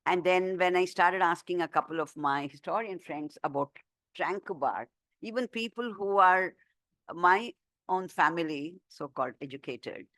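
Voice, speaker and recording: A woman author giving a book talk and answering questions, chatty and animated, with many fillers and restarts. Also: on the low side, normal speed, no room sound. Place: talk room.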